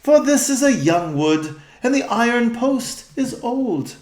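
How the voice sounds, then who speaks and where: An earnest young queen from a storybook, put on by a man reading aloud, speaking in formal, old-fashioned phrases. The voice sits high and lively. It has a roomy echo. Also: slow, heavily acted.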